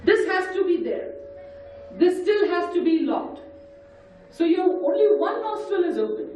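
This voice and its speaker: A woman with an Indian English accent and a husky voice, leading a group through breathing and sound exercises and counting the steps aloud. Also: extremely high pitch, animated, unhurried, dark tone, a little hiss or hum. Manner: instructive, counts aloud and guides the group step by step. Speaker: voice and breathing workshop leader. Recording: echoey hall, distant, dull mic.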